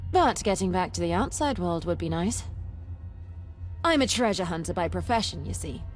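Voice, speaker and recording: A woman playing an eccentric, excitable, boastful young treasure hunter in a video game, chatty and theatrical with dramatic emphasis. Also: medium pitch, close to an everyday voice. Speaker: game companion character.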